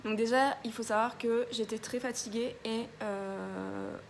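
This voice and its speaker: A woman's voice: on the high side, steady tone, a little slow, vocal fry, a little hiss or hum. A young woman vlogger telling a story in a casual, chatty way, with many hesitations and a stuffy nose from a cold. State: congested, a bit ill.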